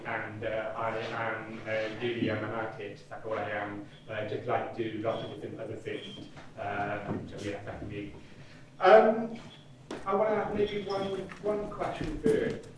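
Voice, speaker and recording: A man moderating a panel informally, halting and repeating himself as he goes. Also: on the low side. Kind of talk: informal spoken panel hosting. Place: echoey event room, indoors. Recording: very echoey hall, far mic, noisy.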